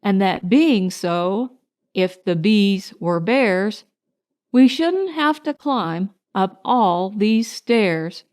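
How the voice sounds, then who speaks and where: A woman's voice: medium pitch, animated, drawn-out words, a little mellow. A woman voicing a simple, honey-loving male bear in a storybook, musing earnestly and ponderously. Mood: singsong, wistful.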